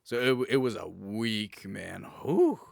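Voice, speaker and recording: A man's voice: lowish voice, very animated, unhurried, gravelly, dry recording, studio quality. A man co-hosting a podcast, chatting casually and telling personal anecdotes while acting out the dialogue he quotes. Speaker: podcast co-host.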